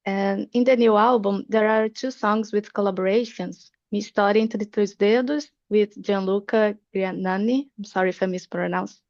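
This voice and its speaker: A woman's voice: medium pitch, fairly even intonation, normal speed, few pauses, a little mellow, no room sound, highs cut off. A woman interviewer reading her questions carefully and evenly.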